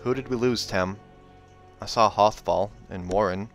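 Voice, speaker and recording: A man's voice: fairly low pitch, a little slow. A wounded, dutiful officer from a fantasy storybook, read by a male narrator. He speaks earnestly and questioningly, concerned for his comrades, with light music behind the voice.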